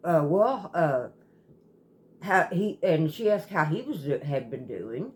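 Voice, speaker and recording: A woman telling a story casually as a host, in a halting, rambling way that often circles back and repeats. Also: low voice, big pitch swings. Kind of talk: casual storytelling, retelling a viewer's account.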